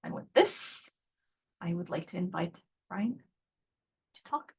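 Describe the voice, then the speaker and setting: A woman's voice: fairly low pitch, expressive, slow pace, creaky, a little mellow, slightly rough. A woman presenting a workshop over a video call as faculty mentor and coordinator, chatty and loose, full of fillers and restarts. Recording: slightly dull video call audio.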